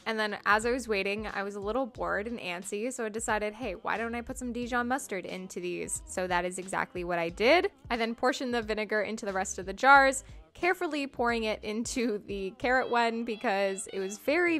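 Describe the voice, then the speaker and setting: A woman's voice: on the high side, brisk, a bit bright, faint music behind. A young woman casually narrating her home cooking in a chatty, self-deprecating, upbeat way. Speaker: cooking vlogger. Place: home kitchen.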